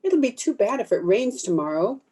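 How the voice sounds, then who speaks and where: A plain young bridesmaid acted by a woman, wistful and gloomy, in an old-time radio play. Her voice is fairly high and soft-edged, with wide swings in melody. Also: big pitch swings, normal speed, husky. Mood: uneasy.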